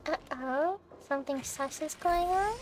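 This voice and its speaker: A woman's voice: high voice, a little slow, noisy background. A woman giving casual, playful live commentary on a game, chattily thinking aloud as she plays. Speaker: game streamer. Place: indoor livestream setup with game music behind the voice.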